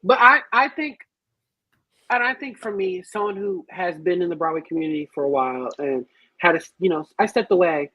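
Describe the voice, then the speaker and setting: A woman's voice: on the low side, brisk, bright, forceful tone, husky, no room sound, poor audio. A woman chatting casually on a group podcast in a blunt, sassy, theatrical manner. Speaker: podcast panelist. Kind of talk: animated casual group chat.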